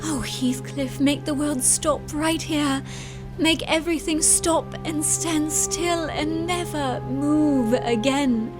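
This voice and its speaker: Woman acting a high-voiced, passionate young gentlewoman. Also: slow, over music. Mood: tender, rapturous. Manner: impassioned, theatrical, swinging from tender to imperious. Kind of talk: dramatic period audio drama acting.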